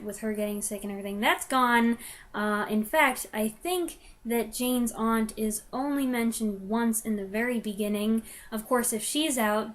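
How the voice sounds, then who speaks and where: A woman reviewer speaks in a fairly high voice. She comments conversationally and gives her opinions freely, slipping in wry asides as she goes.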